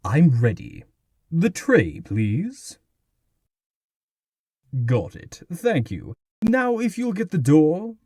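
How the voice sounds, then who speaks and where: A man's voice: fairly high pitch, huge pitch swings, drawn-out words, long pauses, a little mellow, husky, no room sound, full character voice. A man playing a pompous, theatrical mayor in a comic dub, with a grandiose, condescending manner. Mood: condescending, commanding. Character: pompous, theatrical male mayor of a tiny exiled community. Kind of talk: comic dub character acting.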